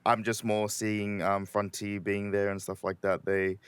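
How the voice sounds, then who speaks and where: A man's voice: lowish voice, level tone, a little slow, a bit bright, clean tone, no room sound. A man who is a podcast regular, chatting casually in an informal, slangy way. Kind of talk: casual chat.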